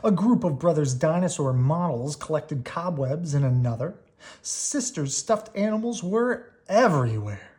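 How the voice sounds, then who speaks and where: A storybook reader giving an expressive children's read-aloud, leaning on key words with drawn-out emphasis. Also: mid-range voice.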